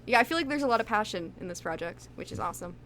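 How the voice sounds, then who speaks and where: A woman's voice: mid-range voice, lively intonation, very fast, fairly bright tone, a little hiss or hum. A woman chatting casually as an interviewed voice actress, emphatic, blunt and encouraging in how she talks.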